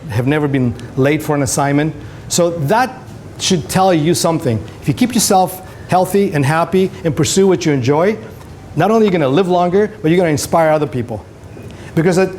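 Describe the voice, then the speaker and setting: A man's voice: fairly high pitch, a little mellow. A middle-aged man from Greece, a native Greek speaker, gives an informal, conversational motivational talk to students as a visiting photographer. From Greece, moved to Canada at twelve. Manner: conversational, anecdotal, addresses listeners with tag questions. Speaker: photographer, career day speaker. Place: school classroom, indoors. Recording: noisy room with background hiss.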